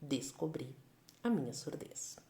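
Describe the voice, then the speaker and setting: A Brazilian woman in her thirties, a sign-language educator, calmly sharing a personal testimony in a measured way. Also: very deep voice, echoey room. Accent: Brazilian Portuguese. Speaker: Libras educator.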